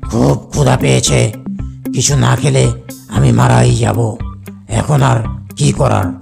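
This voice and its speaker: A lordly, slow-witted cartoon tiger, acted by a man in a lowered, gravelly voice. He is greedy and pompous, the king of the jungle. Music runs underneath. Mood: hungry, demanding. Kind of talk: cartoon character dialogue.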